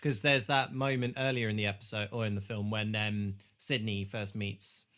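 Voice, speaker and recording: A man hosting a podcast, casually discussing a film in a halting way with false starts. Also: on the low side, level tone, fairly bright tone. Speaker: podcast host.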